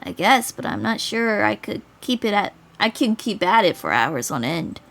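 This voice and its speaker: A woman's voice: mid-range voice, very animated, normal speed, vocal fry, fairly bright tone. A woman voicing a weary, wry older man with a dry, understated manner in a visual novel.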